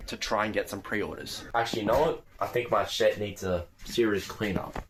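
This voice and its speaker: A man's voice: fairly low pitch, steady tone, moderate pace, a little hiss or hum, low-quality recording. A teenage boy talking casually to his viewers as he narrates his progress on an online business. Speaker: vlogger starting an online business. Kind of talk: casual vlog talk, narrating own progress.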